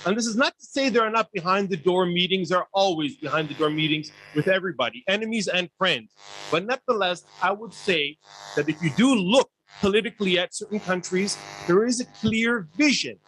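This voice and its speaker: A man's voice: high-pitched, expressive, brisk, a bit bright, a little hiss or hum, rough recording. A man speaking as an academic on a panel, earnest and lecturing, firing off rhetorical questions. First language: not Urdu. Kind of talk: panel discussion remarks.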